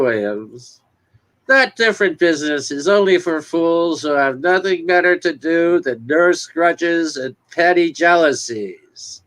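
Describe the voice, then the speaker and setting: A man's voice: a little high, sharp tone, exaggerated voice. Man playing a hearty, swooping small-town bigwig. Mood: brisk, scornful. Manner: folksy, expansive, declamatory. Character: genial, self-assured wealthy small-town businessman. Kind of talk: dramatic reading of a 1950s romance comic.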